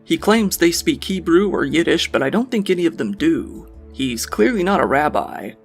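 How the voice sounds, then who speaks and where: A man narrates a true crime case in an even, measured way. His voice sits a touch high and has a gravelly edge. Quiet music plays beneath him.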